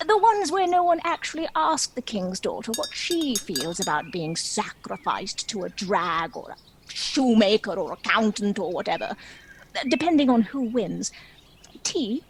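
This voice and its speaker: Woman playing a droll, theatrical dragon, unhurried. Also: a little high, darkish tone, slight background noise, rough recording. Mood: wry, droll. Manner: wry, theatrical, measured storytelling with arch asides. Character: chatty, droll, self-pitying dragon telling its own story. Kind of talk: scripted comic monologue in a stage play.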